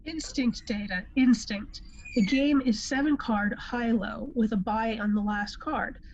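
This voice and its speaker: Playful, assured female officer at cards, read by a woman, higher pitch, dull recording, amateur sci-fi drama. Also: fairly even intonation, a little slow. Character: confident, playful card-playing starship officer. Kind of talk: amateur table read of TV script. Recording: dull lo-fi sound, faint noise.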